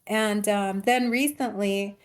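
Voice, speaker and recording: A woman screenwriter talking casually and haltingly about her writing in an online meeting. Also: medium pitch, steady tone. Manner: hesitant, informal, halting explanations. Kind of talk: casual discussion in online table read.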